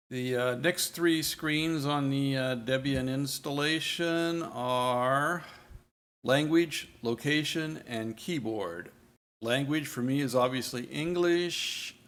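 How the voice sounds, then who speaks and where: An older man narrates a home tech walkthrough in a relaxed, conversational way. His voice has a gravelly texture, and he often pauses to correct himself or fill gaps with "uh.". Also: medium pitch. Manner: rambling, self-correcting, many uh fillers. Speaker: tech tutorial host. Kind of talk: casual screen-recorded walkthrough.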